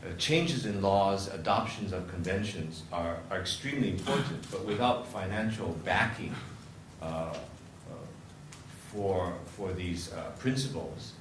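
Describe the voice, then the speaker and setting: A man with a very deep voice introduces speakers and asks questions at a conference, halting often on ums and uhs. Clear background noise fills the hall around him. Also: expressive.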